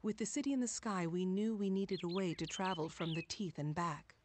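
An actress playing a solemn armored female guide in a fantasy video game, speaking formally and gravely. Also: fairly low pitch, fairly quick, a little mellow, slight background noise, natural voice. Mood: solemn, instructive.

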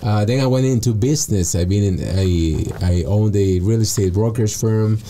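A man's voice: fairly low pitch, moderate pace, mellow. A man in his thirties from Peru, a guest in a radio studio interview, talking earnestly and articulately. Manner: earnest, articulate, frequent restarts. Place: small padded studio. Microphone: studio mic with headphones.

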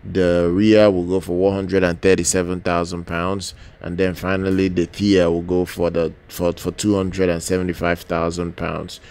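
A man with a deep, smooth voice hosts a casual product news video. His delivery stays very even in pitch. He speaks hesitantly, often doubling back to repeat a word or phrase. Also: moderate pace, few pauses. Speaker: YouTube host presenting product news.

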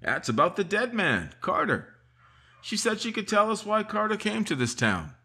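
A man's voice: high voice, very animated, moderate pace, pushed and bright, breathy edge, heavily acted. A man playing a folksy small-town sheriff in an old-time radio mystery recreation. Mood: eager. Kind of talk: 1950s-style radio drama acting.

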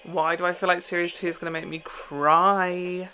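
A man's voice: sits high, little pitch movement, normal speed, bright, forceful tone, soft background music. A young man giving casual, off-the-cuff reaction commentary in a blunt, sweary manner. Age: young adult. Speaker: reaction video host. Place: small room at home, indoors.

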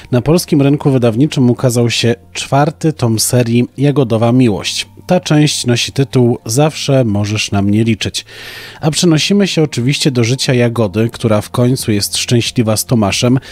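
A man's voice: mid-range voice, darkish tone. A young man hosting a podcast, presenting book recommendations in a scripted style. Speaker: podcast host.

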